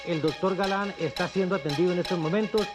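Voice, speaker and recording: Male Colombian news anchor, high pitch, grave, formal, halting, urgent bulletin, music behind.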